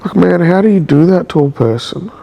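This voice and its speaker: A man's voice: high-pitched, lively intonation, brisk, creaky, highs cut off, a little hiss or hum. Stuffy-nosed man rambling emotionally. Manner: rambling, emotional, searching for words. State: nasal from a cold. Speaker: vlogger. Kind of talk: confessional video diary.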